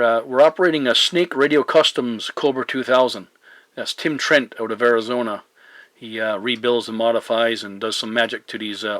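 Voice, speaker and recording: Male CB operator from Newfoundland, mid-pitched, polite, halting, casual radio-contact chat, dry acoustic. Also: a bit bright, no echo. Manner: hesitant, polite, spells in phonetic alphabet. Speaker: CB radio operator and video maker. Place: home radio desk, indoors. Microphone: camera pointed at the radio.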